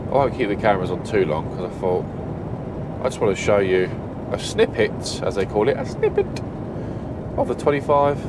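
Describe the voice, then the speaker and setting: A man's voice: sing-song, normal speed. A British man driving a truck at night, chatting casually with a blocked nose, rambling and correcting himself. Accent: British English. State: stuffy blocked nose, sniffling. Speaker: truck driver vlogger. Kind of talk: casual driving vlog commentary. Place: truck cab at night on a motorway. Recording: loud engine rumble in cab.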